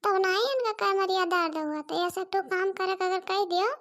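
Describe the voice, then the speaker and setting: A woman's voice: very high voice, fairly even intonation, normal speed, fairly bright tone. A woman voicing an overworked, argumentative young village daughter-in-law in cartoon comedy, with an Awadhi accent. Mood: complaining. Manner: whiny, argumentative.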